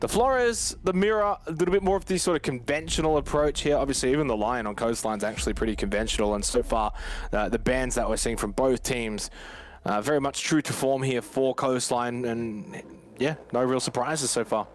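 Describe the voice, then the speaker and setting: A man's voice: medium pitch, a little fast. Male esports caster over game gunfire. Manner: analytical, conversational. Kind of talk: live esports commentary. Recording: gunfire game noise behind voice. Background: video game gunfire and clicks.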